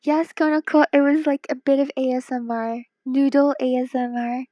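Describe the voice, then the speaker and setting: A woman's voice: high voice, level tone, moderate pace, dark tone, no room sound, highs cut off. A woman from Hong Kong chatting casually on a late-night stream, tired and worn-voiced, playful and teasing, sometimes dropping to a whisper. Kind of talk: casual live-stream chat while drawing.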